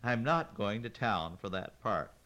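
A man's voice: fairly low pitch, sing-song, unhurried, sharp tone, exaggerated voice. A man voicing a middle-aged farm wife who is exasperated and sarcastic, in a read-aloud story. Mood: firm.

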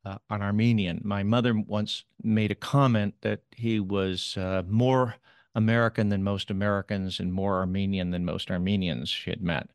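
A man answering interview questions about his late father, speaking in a measured, thoughtful way with occasional pauses. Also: fairly low pitch, fairly even intonation, clear, resonant tone, no echo, studio quality. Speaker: interview guest, son of the subject.

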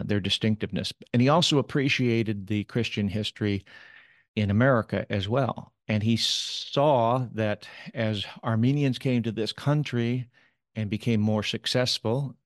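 A man answering interview questions about his late father, speaking in a measured, thoughtful way with occasional pauses. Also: on the low side, dry recording, clean studio sound. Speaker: interview guest, son of the subject.

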